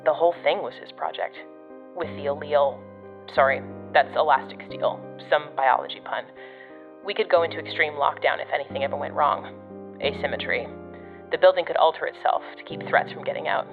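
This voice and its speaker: A woman's voice: deep voice, fairly quick, vocal fry, narrow, tinny audio. An actress playing a blunt, sardonic, foul-mouthed woman agent of a secret department in an audio drama. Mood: wry, reflective. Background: music.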